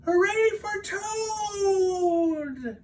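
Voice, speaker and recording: A man's voice: very high-pitched, expressive, very slow, shouting, distant mic, slight background noise, pitched above the performer's own voice, full character voice. A man voicing a small, loyal storybook frog with a peeping, calling delivery. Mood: small, distant.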